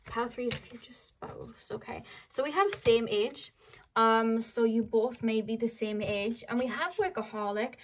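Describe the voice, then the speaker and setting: A woman's voice: fairly high pitch, unhurried, slight background noise, poor audio. A young woman with a Welsh accent giving a casual tarot reading, chatting in a rambling way full of fillers and "like". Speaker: tarot reader.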